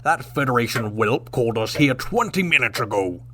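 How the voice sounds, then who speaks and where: A man's voice: medium pitch, lively intonation, normal speed, fairly bright tone, lightly acted. A man playing a proud, hot-tempered Klingon warrior captain in a sci-fi radio drama, with indignant, formal, old-fashioned phrasing. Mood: impatient, threatening. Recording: faint background noise.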